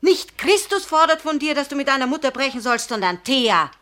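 An actress playing a proud, tender-hearted young woman in a literary radio drama, earnest and emotional, moving between hushed and vehement. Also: sits high, sing-song, fairly quick, heavily acted. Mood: agitated. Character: young Charlotte Löwensköld, proud, impassioned, tender-hearted jilted fiancée.

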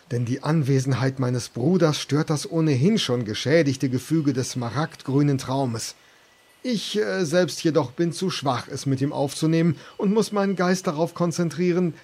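Husky fairly high archdruid voice, all solemn and formal. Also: male voice, darkish tone. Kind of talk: comedy audio play acting.

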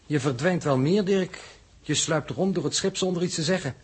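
An actor playing a dry, logic-bound scientist in a 1960s radio drama, speaking in precise, formal, sardonic phrases. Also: male voice, on the high side, moderate pace, slight background noise, natural voice. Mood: sardonic, probing. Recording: muffled, indistinct old radio recording.

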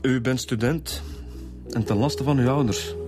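A man's voice: medium pitch, unhurried, over music, lightly acted. An actor with a Flemish accent playing a down-to-earth, slightly weary male police inspector in a radio drama, speaking colloquially and matter-of-factly. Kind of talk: naturalistic radio drama dialogue.

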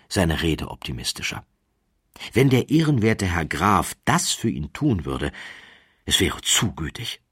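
Very deep, husky male storyteller. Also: a bit bright, dry recording, studio quality. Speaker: audiobook narrator. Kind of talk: scripted narration, literary storytelling.

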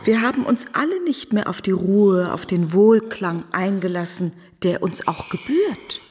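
A woman's voice: mid-range voice, lively intonation, unhurried, darkish tone, lightly acted. An actress playing a serene, esoteric woman in a radio drama, speaking in a lofty, ceremonious manner. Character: serene, esoteric woman with solemn meditative airs. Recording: reverberant hall with clear noise.

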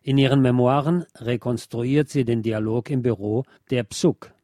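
Mann liest vor, weiche, trockene Stimme. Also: male voice, medium pitch, moderate pace, warm tone, no room sound, clean studio sound. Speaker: radio programme presenter reading a book chapter. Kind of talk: scripted narration, literary reading.

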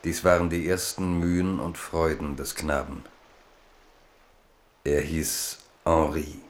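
Really deep guy narrating a literary radio drama, slow and solemn. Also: level tone. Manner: measured, solemn.

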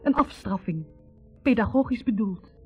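An actress playing a wounded young woman in a 1960s radio drama, bitterly and haltingly confessing a painful past. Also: medium pitch, animated, over loud music, lightly acted. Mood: agitated, bitter. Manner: hesitant, halting, introspective. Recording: dull vintage radio recording.

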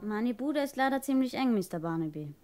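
Female actor as young suspect, fairly high voice, curt, casual, guarded, radio crime drama. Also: animated, fairly quick, warm tone, soft background music, natural voice. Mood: guarded, defensive. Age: young adult. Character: defensive, offhand young woman suspected of arson. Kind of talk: 1960s German radio crime drama acting.

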